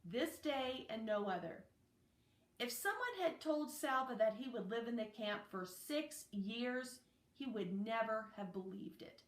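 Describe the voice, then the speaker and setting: A woman reading a children's novel aloud as narration, in a deliberate, phrase-by-phrase way. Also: fairly high pitch, expressive. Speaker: audiobook reader. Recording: roomy, echoey, distant microphone.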